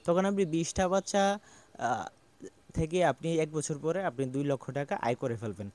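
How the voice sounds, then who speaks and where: A young man from Bangladesh talks earnestly to the camera as a small goat farmer, and his voice sits a little higher than most men's. He explains things step by step and often stops on a drawn-out "ah". He speaks outdoors in a farmyard, holding a small mic close to his mouth. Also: lively intonation, darkish tone. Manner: earnest and explanatory, with frequent "ah" pauses. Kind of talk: casual advice talk to camera. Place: outdoor farmyard with goats and pigeons. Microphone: small handheld mic near the mouth.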